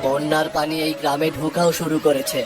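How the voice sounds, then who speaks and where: A man voicing a troubled, caring village husband and father in a cartoon story, earnest and expressive. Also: on the high side, fairly quick, lightly acted. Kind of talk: dramatic cartoon story dub. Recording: loud music under the voice.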